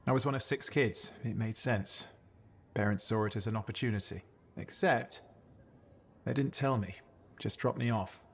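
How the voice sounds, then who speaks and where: A man's voice: on the low side, moderate pace, vocal fry, slightly rough. An actor playing a cheeky, playful young man in a video game, with a teasing, flippant manner that covers old hurt. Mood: subdued, wistful. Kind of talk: video game cutscene acting.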